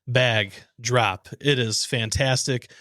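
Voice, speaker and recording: A man's voice: mid-range voice, steady tone, takes it slowly, bright, forceful tone, no echo, clean studio sound. An American man co-hosting a podcast, chatting casually in slangy, informal language. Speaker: podcast co-host. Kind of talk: casual chat and informal sponsor plugs.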